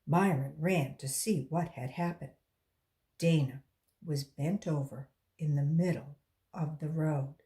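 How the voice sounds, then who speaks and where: A woman reading a children's story aloud in an expressive, deliberate storytelling way. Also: low voice. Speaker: volunteer storybook reader.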